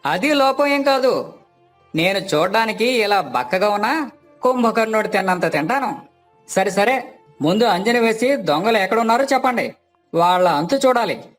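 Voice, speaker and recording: Man doing a fretful villager scared of ghosts, high voice, plaintive, light music. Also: expressive, normal speed, a bit bright. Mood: indignant, urgent.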